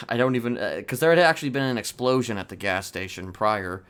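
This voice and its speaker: A man in an improvised in-character roleplay conversation, talking haltingly and often restarting his phrases. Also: mid-range voice, lively intonation, a bit bright, smooth. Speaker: roleplay game player.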